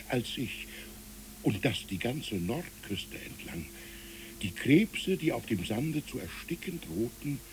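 A man playing a kindly, reflective village pastor in a literary radio play, speaking in a measured, gently formal way. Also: medium pitch, a little hiss or hum, poor audio.

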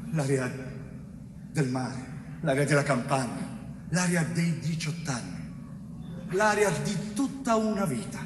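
A man's voice: fairly high pitch, sing-song, distant mic. An actor playing an anguished innocent man in a poetic, repetitive stage monologue, recorded in a theatre hall. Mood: anguished, reflective. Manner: poetic, repetitive, drawn-out phrases. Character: anguished innocent man recalling his stolen youth. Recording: echoey hall stage recording, faint noise.

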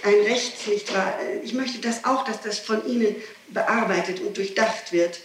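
A woman's voice: medium pitch, measured pace. Agitated woman being questioned, keeps pressing her point n restarting, old tape, kinda far from the mic. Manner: insistent, agitated, repeats rhetorical questions; digressive, breaks off and restarts sentences. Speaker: person being questioned by police. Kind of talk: spontaneous statement in an interrogation. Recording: old archive tape, roomy, distant, hissy.

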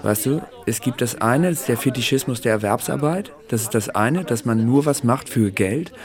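An actor playing an irritable, self-critical misanthrope in naturalistic radio drama, arguing bluntly and coarsely in everyday conversation. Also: male voice, mid-range voice, moderate pace, lightly acted. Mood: bleak, self-critical. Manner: argumentative, blunt, coarse-mouthed.

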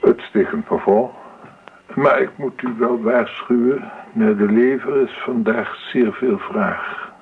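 An actor playing a stiff, formal restaurant waiter with a polite, pedantic manner in a radio play. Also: male voice, medium pitch, slow pace. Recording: tinny, noisy old radio play recording.

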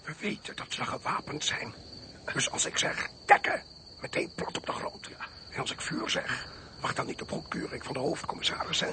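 An actor playing a blunt, commanding military intelligence chief in a radio drama, speaking in clipped, authoritative phrases. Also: male voice, on the high side, lively intonation, moderate pace, background noise, close to an everyday voice. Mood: hushed, tense. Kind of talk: Dutch radio drama acting.

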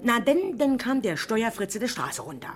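Excitable, nosy Berlin neighbourhood woman, swooping pitch. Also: moderate pace. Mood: chatty, self-important. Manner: garrulous, colloquial, breathlessly stammering in agitation. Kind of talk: 1970s German radio crime drama acting.

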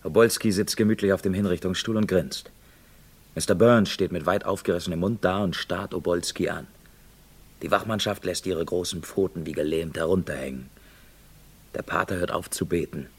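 Newspaper reporter, radio thriller: brash and pushy, deepish, husky, punchy reporting style. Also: male voice, fairly even intonation, normal speed. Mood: urgent reporting. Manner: terse, punchy present-tense reporting. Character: brash, hard-bargaining male newspaper reporter. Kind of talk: German radio crime play acting.

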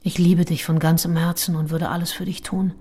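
An actress in a radio play voicing a frank, passionate nineteenth-century woman diarist, husky and confiding. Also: lowish voice, level tone, brisk, dark tone. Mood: confiding, aroused. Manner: confiding, intimate. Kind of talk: radio play, intimate diary monologue.

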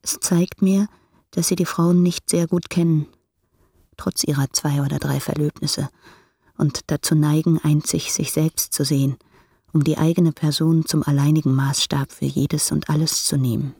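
An actress playing a proud, candid, impoverished young translator in a German radio play, speaking deliberately in a breathy voice. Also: female voice, sits low, steady tone, mellow, exaggerated voice. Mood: critical, firm. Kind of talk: German radio play, reading letters aloud.

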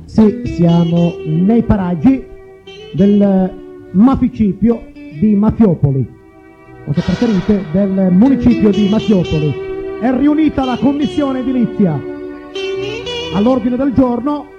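A man's voice: high voice, expressive, unhurried. A man playing a mocking radio reporter, announcing in ironic tones for a satirical broadcast. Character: mocking male radio reporter at a town council. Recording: dull band-limited sound, music behind.

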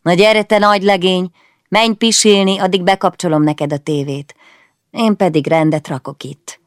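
A woman voicing a 17-year-old girl in a storybook reading, playful and bossy, with lively mock-scolding speech. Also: fairly low pitch, dry recording, studio quality, pitched above the performer's own voice, close to an everyday voice. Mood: brisk.

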